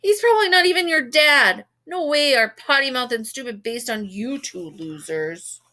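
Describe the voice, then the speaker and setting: Woman acting a shrill, indignant schoolgirl. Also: expressive, normal speed, bright, forceful tone. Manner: screaming, screechy indignation. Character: angry schoolgirl, shrill, scornful, stamping her foot.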